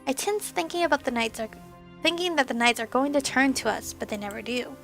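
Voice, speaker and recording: A young woman hosting a let's play, reading a visual novel aloud with a hoarse, fading voice and casual asides. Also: sits high, animated, a little fast, fairly bright tone, faint music behind. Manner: casual asides between earnest narration. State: hoarse, losing her voice.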